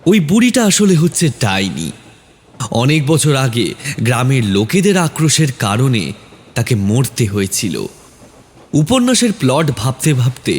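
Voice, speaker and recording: Animated male horror-story narrator. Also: mid-range voice, a little hiss or hum. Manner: dramatic, suspenseful.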